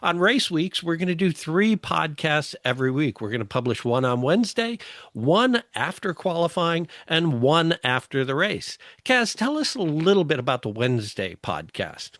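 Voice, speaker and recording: A man's voice: fairly high pitch, very animated, moderate pace, a bit bright, dry recording, studio quality. A man hosting a podcast in a casual, conversational presenting style. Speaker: podcast host.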